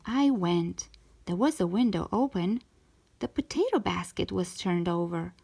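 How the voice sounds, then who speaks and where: Expressive woman reading a children's story. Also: fairly low pitch, a little slow, creaky, slightly rough. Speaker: storytime reader. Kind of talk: children's read-aloud narration.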